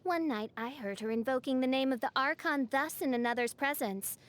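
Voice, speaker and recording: A woman's voice: sits high, brisk, fairly bright tone. A woman voicing a haughty, theatrical teenage girl who styles herself a gothic princess, speaking in pompous, archaic phrases for a video game. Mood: grandiose. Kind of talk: English video game character voice lines.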